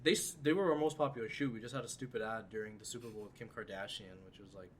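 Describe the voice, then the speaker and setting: A man's voice: fairly low pitch, quick pace, slight background noise. A seventeen-year-old man hosting a casual podcast chat, rambling with lots of fillers. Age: teens. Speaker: podcast host.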